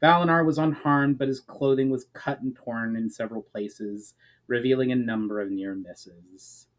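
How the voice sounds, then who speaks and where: A man's voice: medium pitch, moderate pace, low-quality recording. A man narrating a fantasy story as a dramatic reading, stressing the starts of phrases and letting the ends fall away, with the odd stumble. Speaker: writer reading own fantasy chapter. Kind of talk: dramatic audiobook-style narration.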